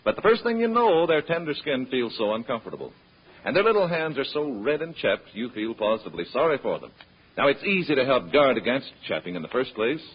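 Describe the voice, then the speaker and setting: A man's voice: mid-range voice, big pitch swings, brisk, breathy edge. An American man announcing scripted advertising in the style of vintage radio. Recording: dull vintage radio recording.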